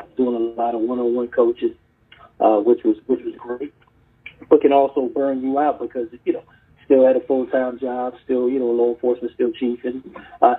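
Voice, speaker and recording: A middle-aged man from South Carolina recounts his path in an earnest, rambling style, full of fillers and restarts. His voice has a husky edge. It comes through a tinny phone-line connection. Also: medium pitch. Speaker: guest, retired police chief and leadership coach. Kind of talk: casual podcast interview.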